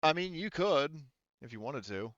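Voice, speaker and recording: A man's voice: a little high, animated, moderate pace, fairly bright tone, no echo, muffled recording. A man casually running a tabletop roleplaying game as game master, thinking aloud in an informal way.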